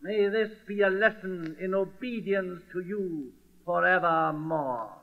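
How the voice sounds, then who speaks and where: An actor playing an imperious, vengeful governor, pompous and commanding in old radio drama style. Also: male voice, high-pitched, a little slow, very noisy. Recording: muffled lo-fi old radio recording.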